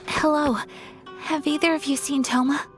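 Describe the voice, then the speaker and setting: A woman's voice: high-pitched, fairly even intonation, moderate pace, loud music behind the voice, lightly acted. A woman playing a refined, earnest young noblewoman in a video game, speaking formally and with poise. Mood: grave, worried.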